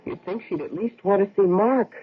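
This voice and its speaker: Old radio drama, muffled sound: strained wife and daughter, high husky voice, insistent. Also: female voice, expressive, moderate pace. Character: strained married woman worrying over her widowed mother.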